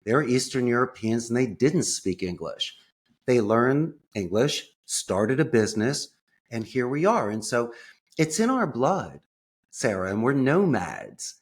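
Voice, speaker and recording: American guy being interviewed, mid-range voice, really expressive and into his stories, colorful way of talking. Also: mid-range voice, unhurried. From United States. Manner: enthusiastic, expressive, colorful phrasing. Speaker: interview guest. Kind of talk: conversational personal storytelling.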